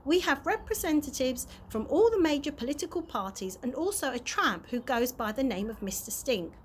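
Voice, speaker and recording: Woman playing a pompous, flustered TV presenter, lively pitch. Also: moderate pace, a little hiss or hum. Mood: formal. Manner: formal, uncertain.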